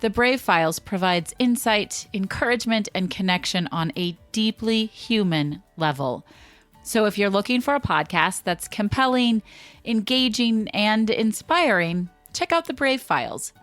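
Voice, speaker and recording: A woman podcast host reading a scripted promo in a polished, deliberate way. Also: mid-range voice, expressive, fairly bright tone, no room sound, faint music behind.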